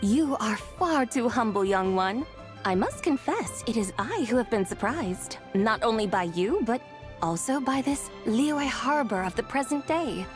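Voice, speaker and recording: A woman's voice: on the high side, lively intonation, music in the background. Dignified, lofty immortal lady. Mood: gracious. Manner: formal, archaic, measured. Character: ancient, dignified adeptus woman, gracious and lofty. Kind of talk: video game voice acting.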